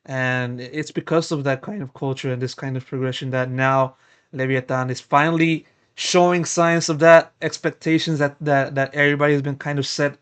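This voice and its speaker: A man's voice: medium pitch, fairly even intonation, normal speed, fairly bright tone, clean tone. A young man interviewing a player, asking long, meandering questions full of "you know" and repeated words. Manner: rambling, repeats words, many you-knows. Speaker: esports interviewer.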